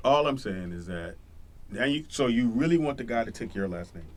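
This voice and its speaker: A man's voice: mid-range voice, animated, brisk. A male talk show host, teasing his guests in casual banter and laughing a lot.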